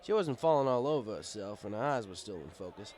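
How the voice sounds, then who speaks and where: A man's voice: on the low side, lively intonation, quick pace, a little mellow. A man reading a first-person story aloud in an easygoing, conversational manner, as a bartender telling his tale. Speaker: audiobook reader. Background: music.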